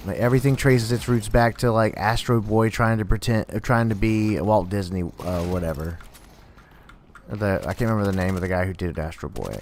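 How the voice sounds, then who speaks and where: A man in his thirties chatting casually with friends while playing a game, rambling and reacting loudly over a headset mic. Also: lowish voice, fairly quick, a little hiss or hum. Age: 30s. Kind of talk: casual gaming banter.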